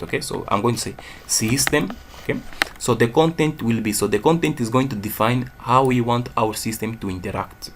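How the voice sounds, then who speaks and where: Angolan man, gravelly low voice; screen-share coding tutor who checks in with "okay?" constantly. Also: a little hiss or hum. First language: Portuguese. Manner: says "okay?" after nearly every phrase, thinks aloud while typing. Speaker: programming tutor.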